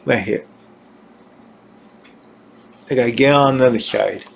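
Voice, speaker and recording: Slow, halting man demonstrating gear. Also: medium pitch, creaky, slight background noise. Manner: halting, searching for words, repeating himself. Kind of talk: informal hands-on equipment demonstration.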